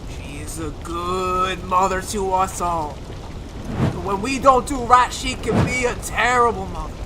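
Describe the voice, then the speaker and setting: An actor playing a stern, prophetic dead friend with solemn, commanding delivery in an old-time radio horror play. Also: male voice, high voice. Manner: solemn, commanding, drawn-out proclaiming. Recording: clearly noisy radio drama recording.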